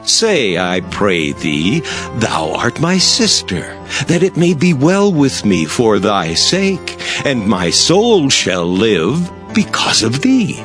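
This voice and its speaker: An actor playing an earnest, pleading older patriarch in a dramatized scripture recording, measured and entreating. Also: male voice, mid-range voice, wildly swooping pitch, fairly bright tone, faint music behind, full character voice. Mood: anxious pleading.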